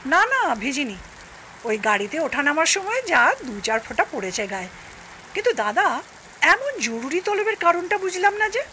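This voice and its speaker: A woman's voice: high voice, sing-song, moderate pace, pushed and bright, a little hiss or hum, pitched above the performer's own voice, exaggerated voice, poor audio. A woman voicing a chatty older female guest in an audio drama, inquisitive and protesting. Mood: curious, concerned. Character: concerned, chatty older female in-law visiting.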